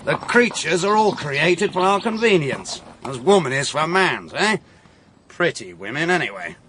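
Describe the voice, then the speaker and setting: An actor playing a swaggering, callous English gentleman hunter in a period audio drama, sneering and domineering. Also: a little high, big pitch swings, normal speed, crowd noise. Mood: mocking, smug. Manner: sneering, domineering, scornful of women. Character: swaggering, callous country uncle, a hard-riding gentleman hunter.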